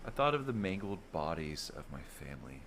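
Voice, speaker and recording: Very deep-voiced man reading a fantasy story. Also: big pitch swings, fairly quick, background noise. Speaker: storyteller, narrator. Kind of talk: first-person bedtime fantasy story reading.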